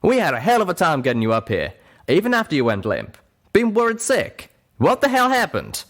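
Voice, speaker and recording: A man voicing a gruff, protective male pegasus with a drawl, blunt and scolding. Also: medium pitch, sing-song, a little fast, a bit bright, voice pushed up. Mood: angry scolding.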